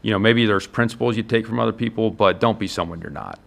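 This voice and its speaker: Low-voiced American football coach, earnest. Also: male voice, quick pace, creaky, fairly bright tone. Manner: earnest, emphatic, explains in enumerated points. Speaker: college football head coach being interviewed. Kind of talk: conversational sports interview.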